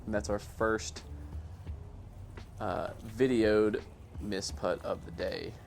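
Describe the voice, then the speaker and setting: A man giving a casual, self-deprecating voice-over commentary on his own amateur sports highlights in a matter-of-fact way. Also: steady tone, slow pace, vocal fry, a little mellow, soft background music. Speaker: amateur disc golfer narrating own highlights.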